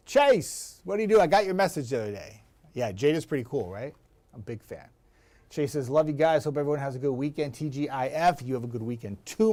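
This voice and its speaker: A man with a raspy voice, a TV meteorologist chatting casually and rambling to viewers. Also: mid-range voice, darkish tone. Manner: chatty, rambling, laughs easily.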